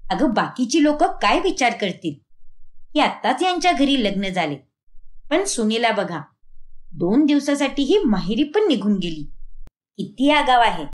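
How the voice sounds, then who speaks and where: A woman voicing a domineering, sharp-tongued middle-aged mother-in-law who scolds, in a cartoon family story. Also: on the high side, very animated, moderate pace. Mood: scolding.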